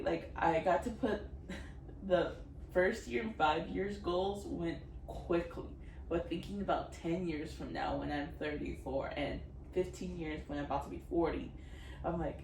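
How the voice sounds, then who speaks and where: A woman in her twenties rambles through a casual vlog chat, sprinkling in "like" and quick giggles. Her voice sits low for a woman. The mic is set back from her, so the room rings and a steady background noise hums underneath. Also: reverberant, distant mic, poor audio. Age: 20s. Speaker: vlogger.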